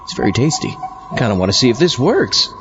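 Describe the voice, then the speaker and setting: A man's voice: mid-range voice, big pitch swings, brisk, highs cut off, music in the background. A man playing an easygoing zombie astronaut who hosts an old-time radio show, chatty and muttering to himself in a comedic monologue. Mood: musing, curious. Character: easygoing male zombie astronaut hosting from his underground lair. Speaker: podcast host.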